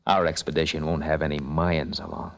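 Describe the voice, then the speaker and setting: An actor playing a brash, wisecracking adventurer in a vintage radio drama, with slangy, tough-talking delivery and some first-person narration. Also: male voice, rumbling low, little pitch movement, a little fast. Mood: coaxing. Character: brash, wisecracking male adventurer, cajoling and determined. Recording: vintage radio drama recording with music behind.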